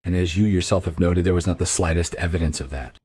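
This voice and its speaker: An actor playing a measured, probing detective inspector with a husky voice in a crime radio play. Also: male voice, low-pitched, no echo. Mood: reflective. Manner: calm, measured questioning.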